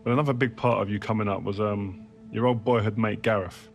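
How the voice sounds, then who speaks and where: A man's voice: lowish voice, fairly even intonation, a little fast, gravelly, soft background music. An actor playing a chummy British football interviewer in a game cutscene, chatty and informal as he probes. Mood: probing, friendly.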